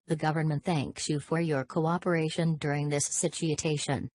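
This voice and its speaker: A woman's voice: deep voice, level tone, moderate pace, a little mellow. A woman voicing a formal emergency alert announcer in a scripted broadcast, with a stilted, synthetic-sounding delivery. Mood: formal, grave.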